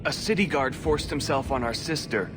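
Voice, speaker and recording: A man's voice: medium pitch, expressive, moderate pace, natural voice. An actor voicing an aggrieved male elf fugitive in a video game, bitter and defiant. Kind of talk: video game cutscene acting. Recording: loud music under the voice.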